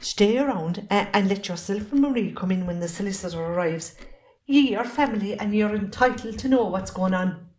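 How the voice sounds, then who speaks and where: Casting: woman as middle-aged Irish farm wife, wronged and resentful, mid-pitched, reproachful in stage-play style. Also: lively intonation, normal speed, a little mellow, close to an everyday voice. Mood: weary, resentful. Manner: reproachful, pointed. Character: wronged, resentful Irish farmer's wife, standing her ground. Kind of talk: amateur stage-play acting.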